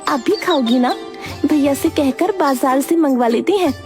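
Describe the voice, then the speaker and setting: An excitable, girlish young sister-in-law from a family cartoon, played by a woman. Her voice is very high and earnest, over clearly audible music. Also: normal speed, lightly acted. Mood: eager.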